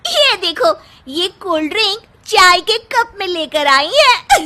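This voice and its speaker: A very high, bright young woman's cartoon voice, acted by a woman, playing a smug sister-in-law. She swings widely in pitch as she sneers and teases, with exaggerated, mocking delivery. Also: full character voice. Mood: sneering. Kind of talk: Hindi cartoon story dialogue.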